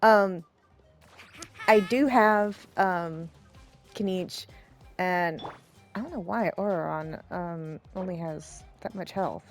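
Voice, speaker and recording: A woman's voice: lowish voice, slow pace, pauses often, soft background music. A woman streamer giving casual let's play commentary in a rambling, hesitant, self-deprecating way. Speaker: game streamer, host.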